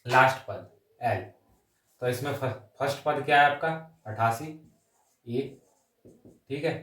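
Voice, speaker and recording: A man's voice: mid-range voice, a little slow, fairly bright tone. A male maths teacher with a mid-range voice works through exam problems aloud, one step at a time. He drops English number words into his explanation and keeps checking in with short tags. The sound is echoey, picked up some way from the microphone. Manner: repeatedly checks in with short tag phrases; mixes English number words and terms into the talk. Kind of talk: exam-coaching lesson, working sums aloud step by step. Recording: roomy echo, distant microphone.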